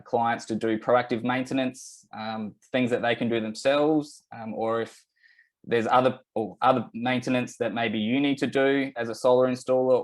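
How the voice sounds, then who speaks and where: Australian man presenting a webinar. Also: mid-range voice. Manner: relaxed, many fillers and restarts.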